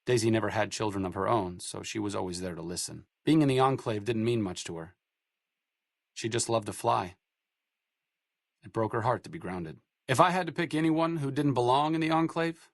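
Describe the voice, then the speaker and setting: A man's voice: mid-range voice, dry recording. An actor playing a bookish, dry-witted male doctor, speaking with sarcastic, literate quips in video game dialogue. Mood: reflective.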